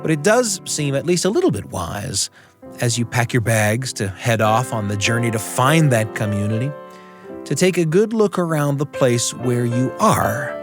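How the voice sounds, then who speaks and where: A man hosting a family radio show, telling personal stories in a reflective way with thoughtful pauses. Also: medium pitch. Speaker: radio show host and storyteller.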